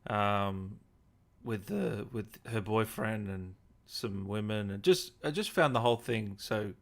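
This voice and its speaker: A man's voice: fairly low pitch, takes it slowly, gravelly. A man hosting a casual interview, chatty and reactive, often repeating his words as he agrees. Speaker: gaming show host, interviewer. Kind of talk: casual chat.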